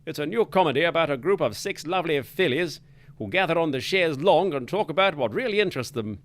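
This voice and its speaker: A man's voice: on the high side, expressive, fast. A man hosting a spoof 1940s wireless hour, his patter jolly and mock-pompous. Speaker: radio show host. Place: studio.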